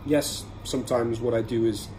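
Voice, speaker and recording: A man's voice: mid-range voice, fairly even intonation, normal speed, darkish tone, rough recording. Man chatting over noticeable background noise. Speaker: podcast host reviewing everyday carry products. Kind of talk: casual product review monologue. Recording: clearly audible ambient background noise.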